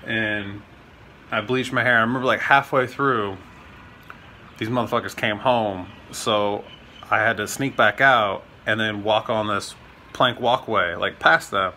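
A man's voice: fairly low pitch, steady tone, unhurried, pushed and bright, slight background noise. A man in his thirties vlogging casually into a handheld phone, rambling with lots of fillers and swearing. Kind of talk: casual vlog chat. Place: indoors at home, then outdoors on city streets and a trail. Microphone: phone camera held close at arm's length.

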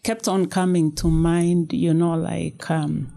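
A woman's voice: on the low side, flat delivery, vocal fry. A Kenyan woman in her fifties, a guest author, speaking thoughtfully and unhurriedly in a casual studio interview. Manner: thoughtful, unhurried storytelling with soft fillers. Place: small recording studio. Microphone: studio mic on boom arm.

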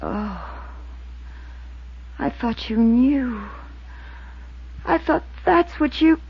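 A woman playing a wistful, earnest young waitress in 1950s radio drama, soft and hesitant in manner. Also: high voice, animated, drawn-out words, husky, dull audio, heavily acted. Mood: pleading.

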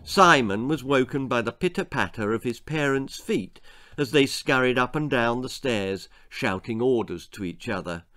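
Animated older man reading a story. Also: medium pitch, normal speed. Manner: animated, theatrical delivery. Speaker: author reading his own story. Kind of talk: dramatic children's story reading. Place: small book-lined room indoors.

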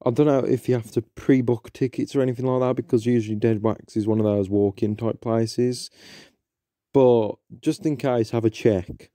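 A man's voice: medium pitch, fairly even intonation, moderate pace, mellow, no echo. A young man hosting a podcast, chatting casually and hesitantly with many ums and restarts. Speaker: podcast host. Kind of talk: casual solo intro chat.

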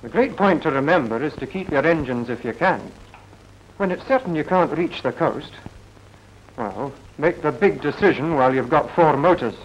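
A man's voice: a little high, lively intonation, normal speed, lo-fi sound, natural voice. A man acting as a clipped, didactic RAF officer in a 1940s instructional film. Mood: assured, instructive. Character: brisk, assured RAF officer explaining procedure. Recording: noisy 1940s film soundtrack.

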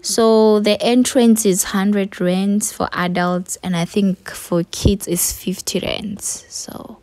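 A woman's voice: medium pitch, steady tone, slow, a little mellow, no room sound. A woman with a South African accent doing a casual, easygoing vlog voice-over, as if thinking it through. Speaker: vlogger.

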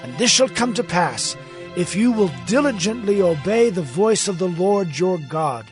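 Audio Bible prophet: high voice, very animated, earnest and declaiming, recounting visions in awe. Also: male voice, measured pace, faint music behind. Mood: proclaiming.

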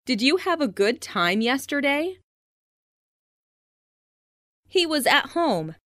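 A woman's voice: high-pitched, sing-song, slow, a bit bright, dry recording. Lady doing language drill sentences, really varied intonation, super dry close sound. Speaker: language lesson narrator. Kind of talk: scripted reading of English practice phrases.

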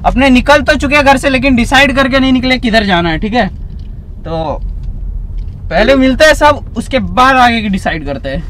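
A man's voice: very high-pitched, expressive, normal speed, a bit bright, a little hiss or hum, rough recording. A young man vlogging a road trip with friends, joking and teasing in casual banter. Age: young adult. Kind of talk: casual vlog chat with friends. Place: inside a car and outdoors.

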